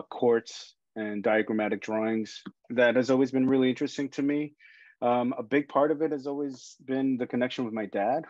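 A man's voice: fairly low pitch, darkish tone, no room sound, highs cut off. A man in his forties from Ecuador, an artist speaking as a guest on a video call, reflecting thoughtfully on his life. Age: 40s. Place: home room.

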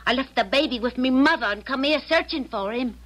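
A woman's voice: high voice, brisk, fairly bright tone. A woman playing an indignant, wronged London wife with a Cockney accent in a 1970s radio drama. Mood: aggrieved, pleading. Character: indignant, wronged working-class London wife, scheming and loud.

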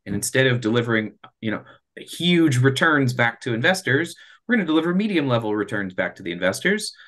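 A man's voice: medium pitch, fairly bright tone. A man in his thirties giving an earnest, explanatory answer on a video call, often restarting his words. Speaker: presenter, space project developer. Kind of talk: explanatory presentation and Q&A. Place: small room at home or office, on a video call. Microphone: video call computer microphone.

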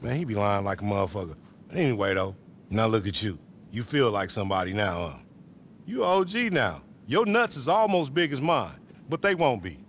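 A man's voice: fairly low pitch, sing-song, a little fast, fairly bright tone, a little hiss or hum. A man acting a brash, aggrieved small-time hustler in a video game, boastful and profane, with an American accent. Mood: bitter muttering. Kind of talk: video game cutscene dialogue, slangy.

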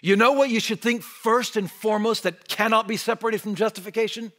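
A man in his forties preaches from behind a church pulpit, his voice sitting high for a man. He gestures broadly and swings from energetic emphasis down to hushed, almost whispered words. The mic is close and picks up every shift in level. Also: brisk. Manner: animated preaching with wide gestures, dropping to near-whispers on key words. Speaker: pastor. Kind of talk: sermon.

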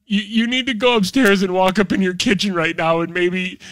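An American man hosting a comedy show, casually teasing in an animated way and prone to breaking into laughter. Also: high-pitched, fairly quick, a little hiss or hum.

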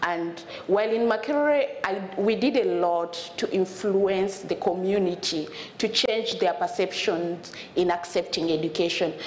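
A woman from South Sudan, in her thirties, speaks earnestly on a conference stage, restarting her phrases as she goes. Her voice is of middling pitch. It rings in a roomy hall with a faint hum of ambience. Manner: earnest, repeats words as she searches for them. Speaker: panelist, refugee student advocate. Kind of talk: panel discussion talk. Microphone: lapel mic.

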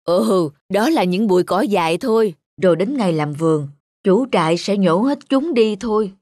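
A woman's voice: mid-range voice, animated, moderate pace, a little mellow, dry recording, studio quality, lightly acted. A woman voicing an older garden plant, a stern elder who lectures and admonishes in a children's cartoon. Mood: matter-of-fact warning. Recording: music behind the voice.

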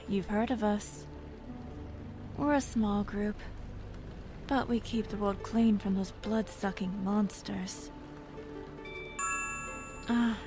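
Slow, brooding vampire hunter played by a woman. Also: medium pitch, close to an everyday voice. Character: cold, remorseless vampire hunter, creature of night. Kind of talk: video game dub acting.

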